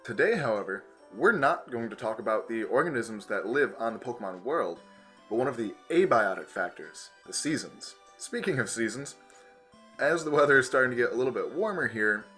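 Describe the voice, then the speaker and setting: A man with a cold, slightly raspy and hoarse, hosting an educational channel and explaining science in a casual, enthusiastic way. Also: mid-range voice, animated, fairly quick, a bit bright, faint music behind. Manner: enthusiastic, conversational, with filler "you know".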